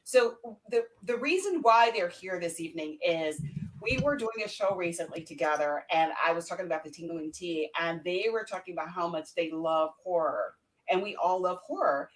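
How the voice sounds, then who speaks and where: A woman's voice: on the low side, normal speed, rough recording. A woman hosting a casual live show in an informal, rambling way, often correcting herself as she goes. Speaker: talk show host.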